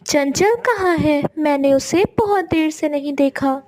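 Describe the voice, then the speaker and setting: A woman voicing a gentle, forgiving young stepmother in a cartoon moral story, earnest and pleading. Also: high voice, unhurried. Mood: concerned.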